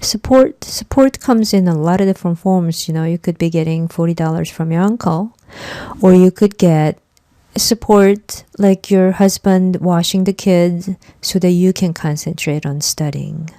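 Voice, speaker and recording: A woman whose first language is Korean talks through an online course introduction in an easygoing, conversational way, often repeating words for emphasis. Her voice sits low and mellow. The recording is close and dry, with no room sound. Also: moderate pace, no room sound. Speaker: instructor. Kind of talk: online lecture.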